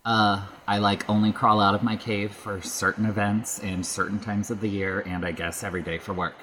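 A woman guesting on a podcast in casual chat, halting and self-deprecating, often saying "like", with noise behind the voice. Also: extremely low pitch, level tone, a bit bright. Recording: clear background noise.

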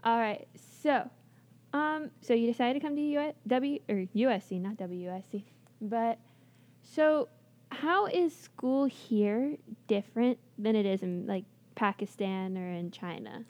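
A woman's voice: fairly high pitch, unhurried, a little mellow. A young woman hosting a college radio interview, chatty and bubbly, with lots of filler words. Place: radio studio.

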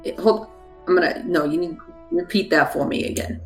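Gravelly, chatty older gnome woman, played by a gamer. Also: medium pitch, fairly quick, close to an everyday voice. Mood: taken aback. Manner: rambling, folksy. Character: chatty ninety-year-old woman gnome cook, genially evasive. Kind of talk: improvised in-character tabletop roleplay. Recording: roomy echo, faint music behind.

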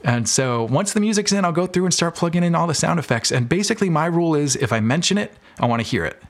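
A man's voice: fairly high pitch, lively intonation, quick pace. A man talking casually as an interview guest, explaining his creative work with examples. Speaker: podcast guest, audio drama maker and filmmaker.

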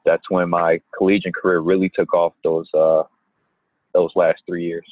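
A young man and basketball player from Indiana who answers interview questions in an unhurried way, with many pauses. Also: deep voice, little pitch movement, no echo. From Gary, Indiana. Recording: tinny phone-like line.

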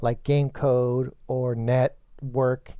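A man co-hosting a gaming podcast, a little hoarse and congested from a recent illness, talking hesitantly. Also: mid-range voice, flat delivery, extremely slow, warm tone, dry recording, clean studio sound. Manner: hesitant, lots of fillers and restarts. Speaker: podcast co-host. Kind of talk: casual podcast chat.

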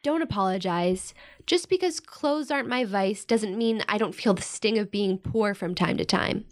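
An actress playing a blunt, teasing, loyal young tomboyish sister with an American accent, in a naturalistic modern audio drama. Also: female voice, medium pitch, normal speed, lightly acted. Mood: reassuring, confiding. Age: young adult. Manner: casual, playful, frank.

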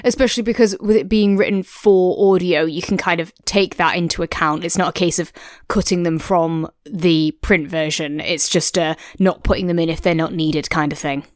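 A woman with a slightly low voice talks casually as a podcast co-host giving a review. She thinks aloud and qualifies her opinions in a conversational way. The sound is close and dry, with little room on it. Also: steady tone, normal speed, dry recording. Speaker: works in audio production.

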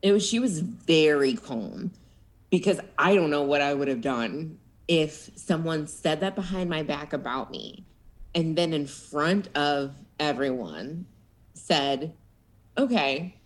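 A woman co-hosting a casual podcast, her voice set low for a woman yet swinging widely in pitch. She talks in an animated, giggly way, spinning quick storytelling asides. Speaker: podcast co-host. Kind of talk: casual chat.

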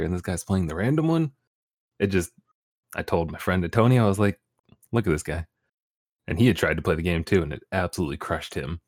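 A man's voice: deep voice, darkish tone, dry recording, studio quality. A young man, a streamer guest on a podcast, talking casually with easy laughs and rambling restarts. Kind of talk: casual interview chat.

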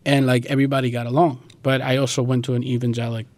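A man's voice: medium pitch, flat delivery, fast talker, darkish tone, no echo. A young man from Guatemala speaking informally and with slang as he answers questions in a casual interview, leaning often on filler phrases. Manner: informal, slangy, peppered with "like" and "you know".

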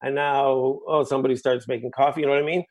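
A man's voice: mid-range voice, little pitch movement, fast, darkish tone. Discursive man checking in with "right?". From United States. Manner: discursive, keeps checking in with "right?" and "you know". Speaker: guest musician. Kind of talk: reflective interview conversation.

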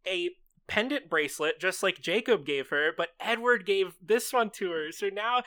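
A woman's voice: medium pitch, lively intonation, normal speed, a bit bright. A woman co-hosting a comedy podcast, joking and giggly with a playful drawl. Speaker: podcast co-host. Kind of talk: casual comedic chat.

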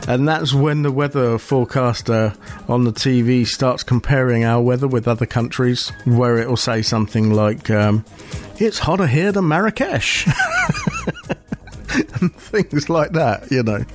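A man's voice: medium pitch, measured pace. Brief: British male podcast co-host, easygoing chat, laughs a lot, faint music behind. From United Kingdom. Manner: chatty, laughs often, many restarts. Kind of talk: casual chat.

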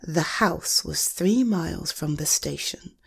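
A woman with a soft, breathy voice speaking intimately and soothingly to one listener, as if at bedtime with a storybook. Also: low-pitched, slow. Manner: soothing, affectionate, coaxing. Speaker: audio host reading a bedtime story to the listener. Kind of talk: intimate personal bedtime roleplay and storybook reading.

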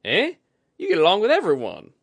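Man voicing a gruff, raised-pitch seafaring woman. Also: huge pitch swings, a little fast, dry recording, full character voice. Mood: wry. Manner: folksy, clipped dropped-g speech. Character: weathered woman sea captain, gruff, plain-spoken, superstitious.

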